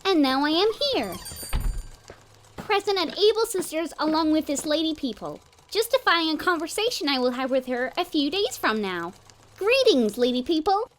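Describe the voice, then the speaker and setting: Very high, chirpy cartoon animal villager. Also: female voice, very animated, moderate pace, slight background noise. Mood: stilted, odd. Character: chirpy, helpful, oddball animal villager, aspiring clothing designer. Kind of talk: animated parody dub acting.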